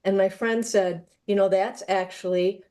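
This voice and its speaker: A woman's voice: medium pitch, fairly even intonation, measured pace, mellow. A woman moderating a panel conversation, choosing her words carefully and somewhat tentatively as she frames her questions. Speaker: moderator, theater maker. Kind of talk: panel discussion, posing a question.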